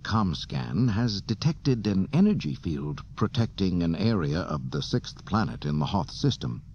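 A male narrator plays a careful imperial general in a space audiobook. He reports formally and deferentially to his master, pitched up a little. The cassette sound is dull. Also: sing-song, a little mellow, exaggerated voice. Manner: formal, measured military report. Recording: dull cassette transfer with hiss.

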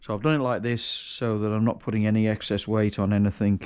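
A man with a lowish voice talks through hands-on work in a big indoor garage workshop. He thinks aloud as he goes, often restarting and correcting himself. The sound comes from a camera strapped to his chest. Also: fairly even intonation, fast talker, darkish tone, clear, resonant tone. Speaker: home mechanic vlogger. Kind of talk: casual workshop narration. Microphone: chest-mounted action camera.

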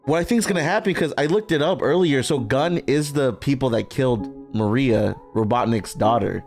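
A man's voice: medium pitch, fairly quick, darkish tone, soft background music. A young man in casual, slangy movie reaction chat, jovial and swearing freely, close on a podcast mic. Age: young adult. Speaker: reaction show host.

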